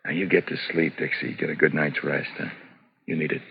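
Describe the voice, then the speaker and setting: An actor playing a smooth-talking, evasive gambler boyfriend in a 1950s radio suspense drama, offhand and coaxing. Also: male voice, extremely low pitch, little pitch movement, fairly quick, no echo, heavily acted. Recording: dull, dry 1950s radio recording.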